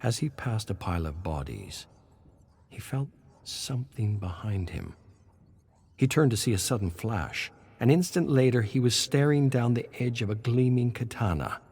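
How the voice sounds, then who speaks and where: A man narrating a scripted horror story in a measured, ominous and deliberate manner. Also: mid-range voice, animated, darkish tone, breathy edge.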